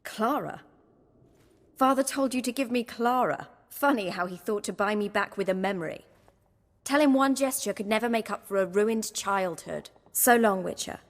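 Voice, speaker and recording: A woman's voice: medium pitch, big-room sound, natural voice. An actress playing a proud, embittered young woman who fled her father, earnest and resolute in her faith, in a video game. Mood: scornful, then guarded gratitude. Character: proud, embittered young runaway daughter, devout believer.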